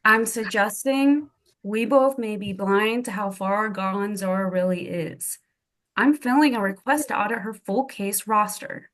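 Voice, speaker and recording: A woman playing a stern, coolly threatening medical colleague with a deliberate, pointed delivery at a drama table read. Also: medium pitch, lightly acted, rough recording. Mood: cool warning.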